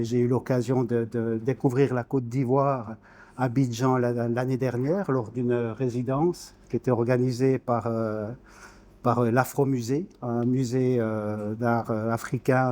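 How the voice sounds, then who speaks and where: Man, sixties, from Switzerland, soft dark timbre, mid pitch, informal interview guest, hesitant, word-searching. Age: 60s. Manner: hesitant, word-searching, repeats small words. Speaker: guest, painter.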